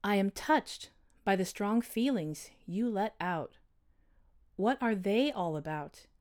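A woman voicing a calm, kindly female owl, a gentle and sympathetic listener in a storybook tale. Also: medium pitch, voice pushed up. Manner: soothing, sympathetic, unhurried. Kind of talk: acted storybook character dialogue.

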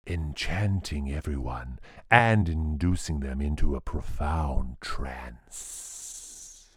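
A vain king cobra from a storybook. The voice is extremely low and breathy, with a raspy hiss. It drawls very slowly and languidly, sly and full of itself, as if deep in a trance. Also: dry recording, studio quality, full character voice. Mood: entranced, triumphant. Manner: languid, drawn-out, self-admiring. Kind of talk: storybook character voice.

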